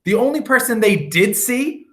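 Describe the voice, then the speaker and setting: A man reading a storybook aloud for children, with theatrical emphasis and drawn-out words. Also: very high voice, lively intonation, a bit bright.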